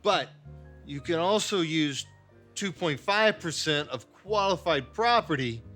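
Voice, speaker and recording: A rambling bar patron, played by a man, with a high, lively, bright voice. He talks in a hesitant, roundabout way, with faint music and an instrument behind him. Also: a little slow, full character voice. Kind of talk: noir parody acting.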